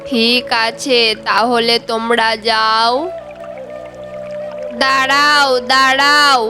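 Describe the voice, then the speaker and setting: A woman's voice: fairly high pitch, level tone, extremely slow. A woman voicing a caring, worried fairy mother trapped as a frog in a children's cartoon, faint music behind. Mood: worried, motherly.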